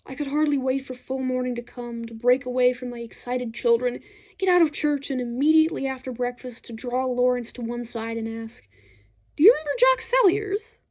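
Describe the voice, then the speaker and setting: High-pitched woman reading literary fiction aloud. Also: fairly even intonation, fairly quick, warm tone. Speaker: audiobook-style reader of fiction. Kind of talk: expressive literary read-aloud narration.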